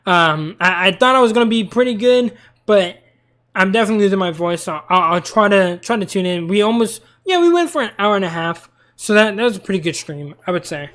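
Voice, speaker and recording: A man's voice: high-pitched, lively intonation, brisk, fairly bright tone. A young man streaming and chatting casually about cartoons in a rambling, talkative way. He is tired and losing his voice. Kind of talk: casual live-stream commentary.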